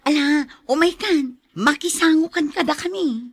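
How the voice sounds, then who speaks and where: A woman's voice: high voice, moderate pace, dry recording, natural voice. A woman playing a weary, exasperated wife who looks after her ailing mother in a radio drama. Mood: inviting.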